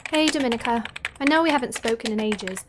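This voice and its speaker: A woman's voice: on the high side, fast, noisy background, natural voice. A woman playing an anxious, self-doubting British teenage girl, talking informally and fretfully in an audio drama. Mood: glum, chatty. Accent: British English. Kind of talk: audio drama acting with inner monologue.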